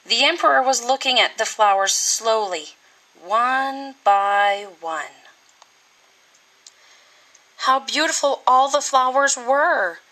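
Bright-voiced woman reading a storybook, unhurried. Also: on the high side, expressive, rough recording. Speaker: teacher reading a picture book. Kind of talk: expressive storybook read-aloud for children.

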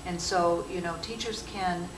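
A woman's voice: lowish voice, steady tone, a little slow. A woman in an audience making a spontaneous comment and question, searching for her words as she goes. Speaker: audience member asking questions. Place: conference session room. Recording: echoey noisy room, distant microphone.

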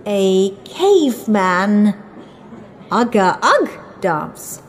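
A woman's voice: medium pitch, very animated, drawn-out words, slight background noise. A woman voicing a bossy, gushing headmistress who grandly announces a school show in a children's storybook.

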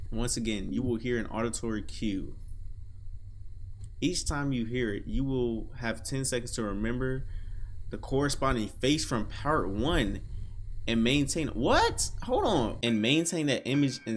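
A young man in a casual reaction style, reading text aloud and breaking in with slangy, animated exclamations. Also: mid-range voice, slight background noise. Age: young adult. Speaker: reaction video host. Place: small room indoors.

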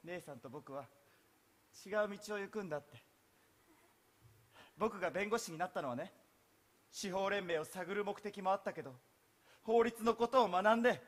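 An actor playing a disillusioned young lawyer and younger brother in a live stage play, earnest and dramatic. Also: male voice, high-pitched, expressive, normal speed, long pauses, a bit bright. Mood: bitter sorrow. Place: theatre stage.

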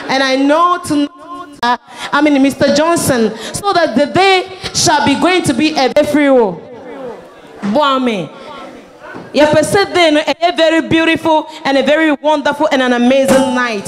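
A woman with a Ghanaian accent, a native Twi speaker, hosting a party as master of ceremonies and mixing languages in an emphatic, exclamatory way. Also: high voice. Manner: exclamatory, emphatic, repeats phrases. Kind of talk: live event hosting, mixing local language and English. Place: party venue, amplified to guests. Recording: echoey PA at a crowded event.

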